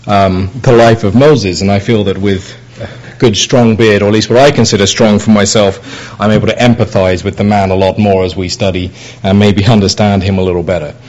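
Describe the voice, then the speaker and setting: A middle-aged man with an English accent preaching a sermon, easygoing and conversational, with many fillers and restarts. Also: fairly low pitch. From England. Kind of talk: sermon with personal anecdotes.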